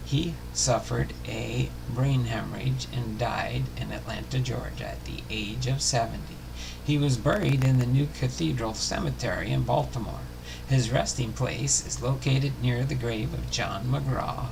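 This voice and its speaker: A man hosting a baseball history series, reading biography notes aloud in a halting way with small stumbles and self-corrections. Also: medium pitch. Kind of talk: scripted biography narration, read aloud. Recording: clearly noisy home recording.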